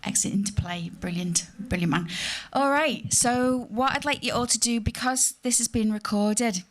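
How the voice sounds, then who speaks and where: A woman's voice: a little high, moderate pace, slight background noise. A fifty-year-old woman from Liverpool hosting a panel discussion, chatty and self-mocking, with lots of fillers. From Liverpool, England. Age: 50s. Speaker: panel host. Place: theatre symposium panel.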